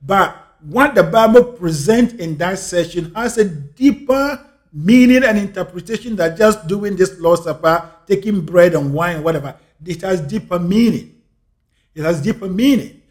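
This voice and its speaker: A man's voice: sits high, lively intonation, measured pace. A man from Ghana with a Ghanaian English accent, giving an earnest reflective monologue and repeating key phrases for emphasis. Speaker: speaker on life and scripture.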